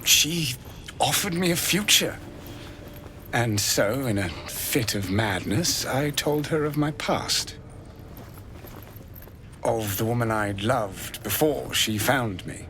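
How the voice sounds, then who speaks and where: Dramatic artist in a game, talks slow w big swings in pitch, florid. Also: male voice, animated, sharp tone, a little hiss or hum. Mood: wistful, troubled. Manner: florid, theatrical, hesitant. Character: florid, lovelorn male fantasy painter, theatrical and self-dramatizing. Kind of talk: video game character dialogue. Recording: game dialogue over background music.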